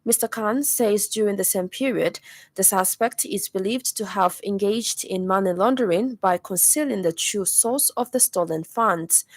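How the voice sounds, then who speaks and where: A woman with a Zambian accent reading a scripted radio news bulletin in a formal, measured way. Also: mid-range voice, dry recording. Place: radio studio.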